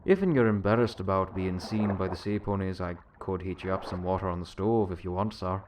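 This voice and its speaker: A man's voice: deep voice, fairly quick, background noise. A man voicing a deferential, practical servant pony who works as a ship's engineer, folksy and rambling, thin and distant as if through a speaking tube. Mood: helpful, rambling. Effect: muffled voice from downstairs or speaking tube.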